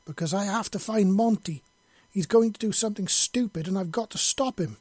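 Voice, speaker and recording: A desperate female alien huntress in an audio story, acted by a man. The voice is pushed high and rough at the edges, loud and pleading with panic and guilt, in a dry, close recording. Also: flat delivery, normal speed, no room sound. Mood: desperate, panicked.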